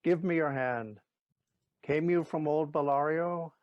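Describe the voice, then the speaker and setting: A male actor as a courteous duke in a classical audio drama. His fairly high male voice is stately and authoritative, recorded dry and a little dull. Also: a little slow, no room sound, natural voice. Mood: formal, courteous. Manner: formal, authoritative. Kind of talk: audio play Shakespeare acting.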